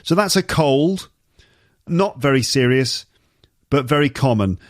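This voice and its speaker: A man with a slight cold, teaching vocabulary on his podcast in a chatty way, repeating key words to make them clear. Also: medium pitch, animated, unhurried, no room sound, clean studio sound. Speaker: English teacher and podcast host. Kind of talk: casual explanatory podcast monologue for learners.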